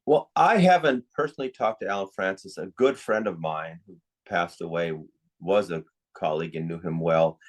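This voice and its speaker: A man's voice: sits low, moderate pace. An older man speaking as a psychiatrist in an interview, giving his opinions in a reflective, conversational way.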